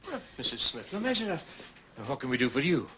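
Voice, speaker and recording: A cautious Scottish policeman in a period drama. His voice is bright and very animated in pitch, with faint noise behind it. He is courteous and fatherly, yet hedges every answer. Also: low-quality recording. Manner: hedging, deliberate, officialese. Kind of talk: British television period drama acting.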